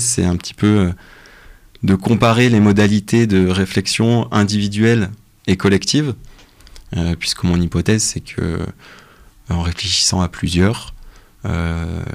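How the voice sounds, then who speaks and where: Young man with a deep, creaky voice. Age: young adult. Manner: hesitant, halting delivery with frequent pauses. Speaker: interview guest, doctoral researcher. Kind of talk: casual radio interview, explaining research.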